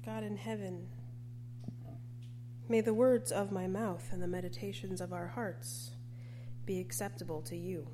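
A woman's voice: medium pitch, steady tone, measured pace, pauses often. A woman preaching a sermon to a congregation. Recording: faint background noise and hum.

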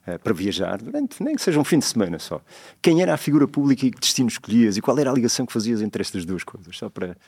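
A man's voice: medium pitch, big pitch swings, racing, a little mellow, slightly rough, dry recording. A man with a European Portuguese accent hosting a casual interview, chatting informally with his guest. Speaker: interviewer, podcast host.

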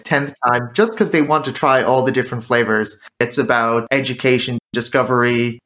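A man with a mixed British and American accent, a guest in an interview, explaining his work in a fluent, lively way. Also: medium pitch. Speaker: guest, drinks maker. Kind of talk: casual interview talk.

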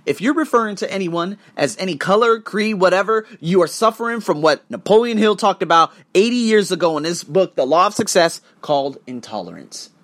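A man hosting a podcast, delivering an emphatic, profane monologue to camera with sudden rises in pitch and frequent restarts. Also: expressive, fairly quick, few pauses, fairly bright tone. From United States. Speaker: podcast host.

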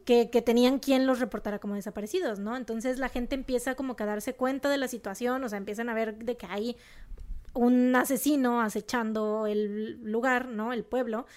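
High-voiced Mexican woman, slangy storyteller. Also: moderate pace. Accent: Mexican, northern Monterrey. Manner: slangy, emphatic, animated outbursts. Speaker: podcast co-host telling a true crime story. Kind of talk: casual storytelling chat.

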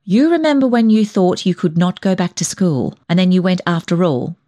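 A woman's voice: fairly low pitch, expressive, moderate pace, warm tone, natural voice. A woman voicing a stern, blunt older female cousin in a storybook, solemn and indignant.

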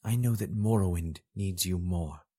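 A man's voice: low-pitched, normal speed, dark tone. A man voicing a woman goddess, recently woken and weak, speaking in an earnest, urgent way. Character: weakened, resolute woman goddess.

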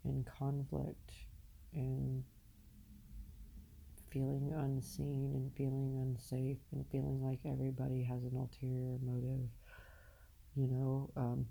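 A woman podcaster speaking off the cuff in a halting, confessional way, candid and casually profane, with a low husky voice and a downcast, weary air. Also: monotone, dark tone, a little hiss or hum, rough recording. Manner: halting, searching, with long pauses. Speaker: podcast host. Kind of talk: unscripted personal monologue, confessional.

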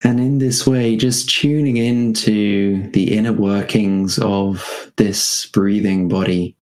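A man guiding a meditation in a gentle, unhurried manner, leaving spacious pauses between short phrases. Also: fairly low pitch, fairly even intonation. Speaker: meditation guide. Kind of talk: guided meditation.

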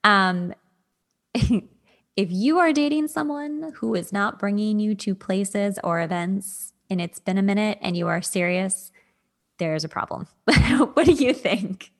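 A woman hosting a podcast in a casual, chatty way, with informal animated asides. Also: mid-range voice, measured pace. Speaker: podcast host. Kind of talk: casual chat.

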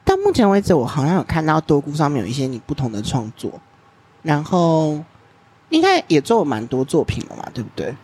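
A man's voice: a little high, huge pitch swings, a little fast, darkish tone, husky. A man hosting an interview podcast, chatting casually and giving long, talkative explanations. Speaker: podcast host.

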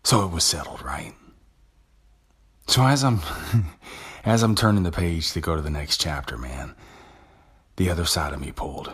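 Male podcast host, deep husky voice, conversational and self-talking, stumbles and restarts. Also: normal speed, long pauses, fairly bright tone. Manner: casual, conversational, with frequent restarts. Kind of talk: motivational monologue.

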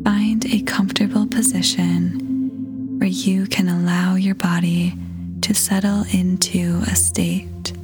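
A woman leading a scripted guided meditation in a soft, breathy, whispery voice, soothing and gentle, with long pauses. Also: on the low side, level tone. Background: music.

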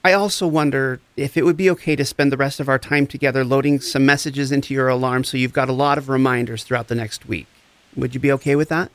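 An actor playing a gentle, encouraging empath therapist, speaking in a reassuring, deliberate way in a scripted audio drama. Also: male voice, medium pitch, steady tone, close to an everyday voice. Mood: tender, encouraging. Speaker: actor in a fiction podcast.

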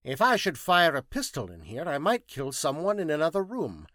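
A man's voice: on the high side, huge pitch swings, normal speed, fairly bright tone, no room sound, studio quality, pitched above the performer's own voice. A man voicing a curt, petulant, exacting scientist detective in an audiobook story, speaking precisely and didactically. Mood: brisk, petulant.

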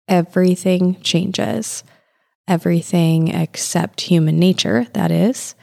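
A woman's voice: fairly low pitch, vocal fry, a little mellow. A woman hosting a podcast who opens with a scripted intro and then interviews her guest encouragingly. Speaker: podcast host.

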